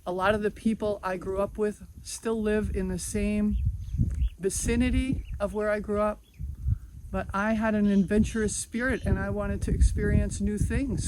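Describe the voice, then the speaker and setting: A middle-aged woman from New York giving an earnest, encouraging inspirational talk outdoors. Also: medium pitch. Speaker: video host. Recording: outdoor noise in background.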